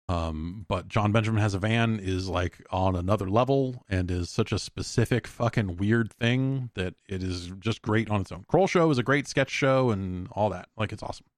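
A man's voice: fairly low pitch, no echo, studio quality. A man hosting a podcast solo, chatting casually in a rambling, opinionated, profane way. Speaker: podcast host answering listener mail.

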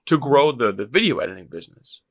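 A man's voice: mid-range voice, very animated, fast. A man hosting a casual podcast interview, encouraging and affirming his guest. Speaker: podcast host.

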